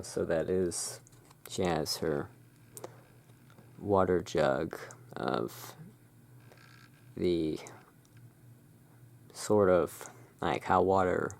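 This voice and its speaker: A person playing the soft-spoken, musing owner of a gothic curiosity emporium in a halting, hushed ASMR roleplay. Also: sits low, vocal fry, exaggerated voice.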